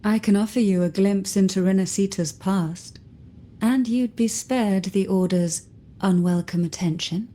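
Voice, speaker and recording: Poised, mysterious noblewoman for a video game, female actor. Soft, mellow tone, unhurried, formal, measured. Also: mid-range voice, lightly acted. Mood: composed, knowing. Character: enigmatic composed noblewoman, secretive and measured.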